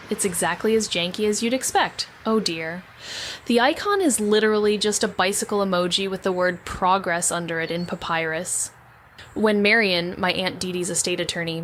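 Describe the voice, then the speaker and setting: A woman's voice: medium pitch, a bit bright, natural voice. A woman playing a wry, self-deprecating young woman back in her hometown, narrating an audio drama in a conversational, sardonic way with rambling asides. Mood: dry, bemused. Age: young adult. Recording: noticeable background ambient noise.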